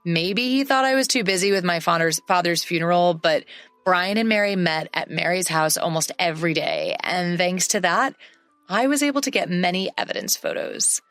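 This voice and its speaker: A woman narrating a first-person story in a steady, matter-of-fact way. Her voice sits low for a woman. The recording is dry and close, with faint music under it. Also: normal speed, dry recording. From English-speaking country.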